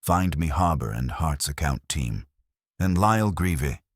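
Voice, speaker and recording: Very deep wisecracking detective played by a man. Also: lively intonation, measured pace, no echo, clean studio sound, exaggerated voice. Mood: wry. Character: wry, wisecracking male private detective. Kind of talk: audiobook character dialogue.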